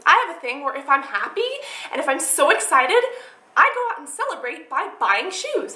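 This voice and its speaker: High, bright-voiced young woman chatting. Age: young adult. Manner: chatty, rambling, animated. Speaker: beauty and lifestyle vlogger. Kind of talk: casual chat answering tag questions to camera. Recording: echoey room.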